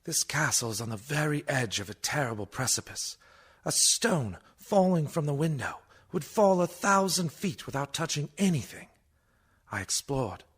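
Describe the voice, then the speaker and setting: A man playing an earnest, uneasy young lawyer's clerk in a radio play, grave and dramatic, with a gravelly creak. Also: a little high, sing-song, normal speed. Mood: hushed dread. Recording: noisy background behind voice.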